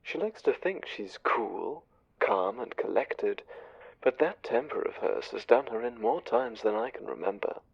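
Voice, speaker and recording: A man playing a smooth, commanding, conniving spymaster angel in an audio drama, speaking with measured, condescending menace. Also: mid-range voice, very animated, dry recording, muffled recording, exaggerated voice. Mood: condescending, scheming. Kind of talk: audio drama roleplay, one side of a conversation.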